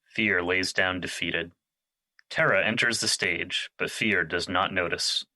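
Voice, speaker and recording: A man's voice: deep voice, fairly even intonation, measured pace, bright, forceful tone, poor audio. A man reading stage directions as the narrator of an audio drama, in scripted narration.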